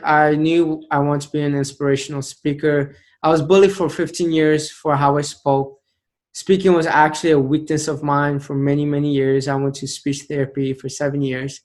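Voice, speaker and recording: A man in his late twenties, a motivational speaker telling his story as an interview guest, earnest and emphatic, slowing down to stress key phrases. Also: on the high side. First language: an Indian language. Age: 20s.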